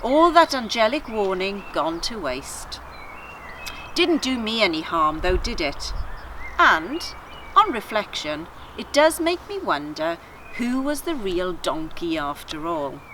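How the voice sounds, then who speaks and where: A woman's voice: medium pitch, very animated, takes it slowly, fairly bright tone, slight background noise, poor audio. A woman voicing a female talking donkey from a storybook, wry and self-amused. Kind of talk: storybook character dialogue.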